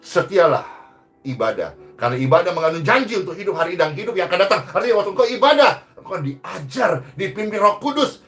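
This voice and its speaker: A man preaching a sermon as a pastor, exhorting emphatically and moving between loud rises and hushed phrase endings. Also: high-pitched, sing-song, quick pace, faint music behind.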